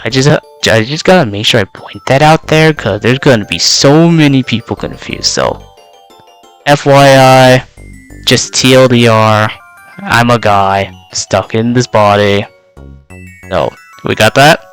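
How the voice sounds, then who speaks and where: Young man, native Vietnamese speaker, VTuber, rambling with fillers, slightly worn-out voice, mid pitch, smooth and resonant tone. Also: a little slow, soft background music. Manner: rambling, full of filler words. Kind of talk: casual in-character self-introduction.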